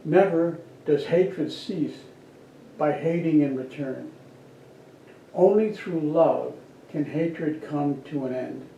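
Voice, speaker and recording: Slow, dark-voiced man reading, distant and roomy. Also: a little high, creaky. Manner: measured, deliberate phrasing. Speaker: worship service reader. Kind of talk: formal reading aloud at a church service. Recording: dull, roomy, distant, noisy church recording.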